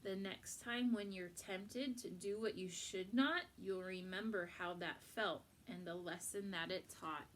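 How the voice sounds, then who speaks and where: Slow-paced woman reading a children's storybook. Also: medium pitch, a bit bright, a little hiss or hum, low-quality recording. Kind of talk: expressive picture book read-aloud.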